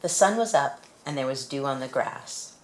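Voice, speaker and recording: A woman with a low-pitched voice reads a picture book aloud for young children. Her delivery is expressive storytelling, in a deep female register. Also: normal speed, slight background noise, rough recording. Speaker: storybook reader.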